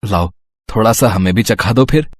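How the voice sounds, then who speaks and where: A man's voice: lowish voice, normal speed, dry recording, natural voice. A man playing a kindly, humble village husband and father in cartoon story dialogue. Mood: gentle.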